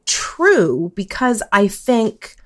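A woman's voice: mid-range voice, big pitch swings, very slow, a bit bright. A woman in a casual, reflective podcast discussion, deliberating tentatively and repeating words as she builds a point.

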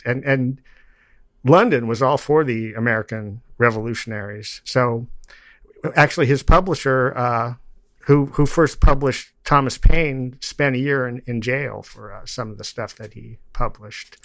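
Halting man with a close, dry sound. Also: mid-range voice, fairly bright tone, dry recording. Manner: halting, digressive delivery with repeated words. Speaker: podcast guest discussing poetry. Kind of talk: reciting poetry, then informal explanatory discussion.

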